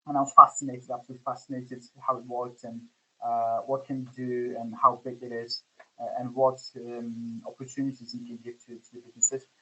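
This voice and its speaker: A man's voice: medium pitch, flat delivery, brisk, bright, forceful tone, husky, rough recording. A man in his forties with a Polish accent, a startup founder answering interview questions and hesitating often with fillers. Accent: Polish, with some Scottish influence. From Poland. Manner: hesitant, many fillers and restarts. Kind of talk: podcast interview.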